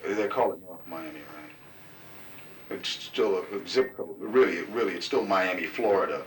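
A very low-voiced man in his thirties answers questions slowly, weighing each word and filling gaps with "uh". He sits well back from the microphone in an echoey room, and a noisy tape hiss runs under him. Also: fairly bright tone. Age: 30s. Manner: deliberate, hesitant with many "uh"s. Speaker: interviewee. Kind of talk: casual oral-history interview. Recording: roomy, distant, noisy tape recording.

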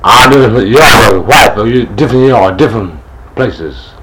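A man's voice: lowish voice, animated, brisk, background noise. A man in his sixties answering interview questions in short, hesitant replies. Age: 60s.

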